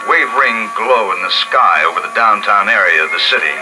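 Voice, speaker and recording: A man playing a steady lone survivor, radio drama. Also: lowish voice, brisk, over loud music, close to an everyday voice, poor audio. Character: adult male survivor husband, steady and thoughtful. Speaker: narrator and lead character. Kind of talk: 1950s radio drama acting. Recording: old radio broadcast with loud score.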